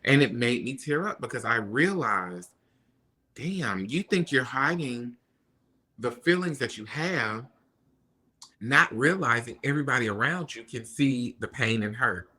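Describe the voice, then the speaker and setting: Bright-voiced man telling animated stories. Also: medium pitch, a little slow. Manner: animated storyteller, acts out quoted people. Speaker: podcast guest. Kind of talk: casual chat.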